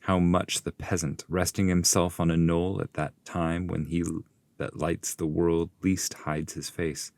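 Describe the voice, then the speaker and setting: A man with a low-pitched voice reads epic poetry for an audiobook. His delivery is solemn, measured and literary. The sound is close and dry, with very little room in it. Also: dry recording. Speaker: narrator and reader of epic poetry. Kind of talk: audiobook reading of classical verse.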